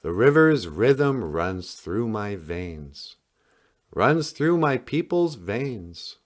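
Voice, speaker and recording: A man reading a picture book aloud in a solemn, dramatic storytelling style with deliberate pauses. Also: lowish voice, very animated. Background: music.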